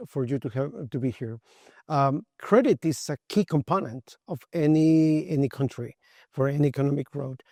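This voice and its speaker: A man's voice: a little high, warm tone, no room sound, studio quality. A man conducting an interview at a conference.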